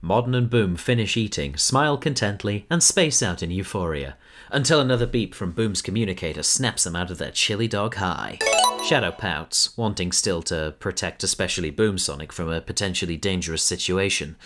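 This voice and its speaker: Low-voiced male narrator. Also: animated, normal speed, a bit bright. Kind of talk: fan audio drama narration.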